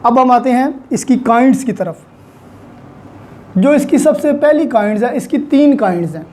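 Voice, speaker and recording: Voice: mid-range voice, expressive, moderate pace, darkish tone. A lecturer with a Pakistani accent, explaining law methodically, step by step with examples, mixing in English terms. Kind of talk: explanatory lesson, Urdu mixed with English legal terms.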